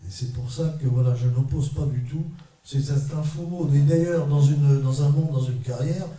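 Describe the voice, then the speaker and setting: A middle-aged man from France giving a conference talk to an audience as a trade unionist, measured and emphatic, in short repeated phrases. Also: a little high, warm tone. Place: large echoey parish hall. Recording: echoey hall, mic far off.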